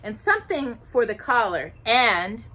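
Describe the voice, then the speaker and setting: A woman's voice: fairly high pitch, sing-song, measured pace, sharp tone, voice pushed up, exaggerated voice. A woman voicing a hopeful, sweet young heroine in a children's storybook, earnest and full of wonder. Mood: dreamy, thoughtful.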